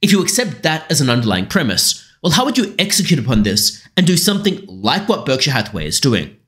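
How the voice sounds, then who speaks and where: A man's voice: medium pitch, huge pitch swings, a bit bright. A young man hosting a finance channel, speaking analytically to camera into a studio mic in a small room. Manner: measured, analytical, gestures with his hands while talking. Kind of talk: explanatory finance commentary to camera. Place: small room with painted artwork behind. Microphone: large studio mic in front of the camera.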